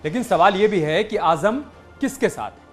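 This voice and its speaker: A man's voice: high-pitched, lively intonation, normal speed, faint music behind. A man presenting a news bulletin as an anchor.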